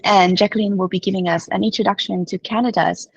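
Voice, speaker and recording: A woman's voice: medium pitch, fairly even intonation, quick pace, creaky, breathy edge, dry recording. A woman moderating an online webinar over a video call, talking fluently with filler words. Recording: dull dry video call audio.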